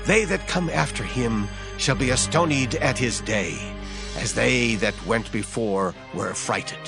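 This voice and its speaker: A man's voice: mid-range voice, measured pace, vocal fry. An actor playing a man warning of the fate of the wicked in a dramatized scripture reading, solemn and grave. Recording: music bed under voice.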